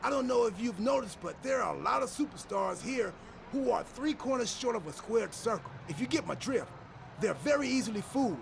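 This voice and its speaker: An actor playing a goofy, over-excited wrestler in a video game cutscene, boastful and rambling about his faction. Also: very high-pitched, a little fast, full character voice. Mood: manic, excited. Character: hyped, goofy male wrestler, fanatically loyal to his faction. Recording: clearly noisy background.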